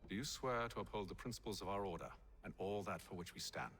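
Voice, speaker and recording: An actor playing a composed, commanding British gentleman who leads a secret order, speaking formally and eloquently in a video game. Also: low-pitched, quick pace, soft background music. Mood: solemn, commanding. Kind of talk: dramatic video game cutscene acting.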